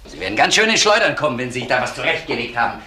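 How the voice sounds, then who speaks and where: A shady club boss in a crime radio drama. He is defensive and insistent, with a sharp, forceful voice that swings widely in pitch. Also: big pitch swings, rapid-fire, a little hiss or hum. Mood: indignant, defensive. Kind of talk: German crime radio play acting.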